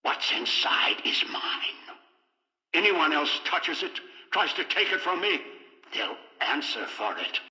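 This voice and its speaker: An older actor playing a cold, paranoid, scheming old man in a video game, with deliberate, halting, contemptuous delivery. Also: on the high side, big pitch swings, bright, forceful tone, reverberant, long reverb tail, exaggerated voice. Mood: menacing. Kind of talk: dramatic video game voice acting.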